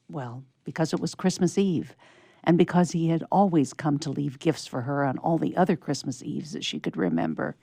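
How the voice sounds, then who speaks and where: A woman narrates a storybook tale expressively. Her voice is low and mellow, with a noticeable creak, and the sound is dry and close. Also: no room sound. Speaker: story reader.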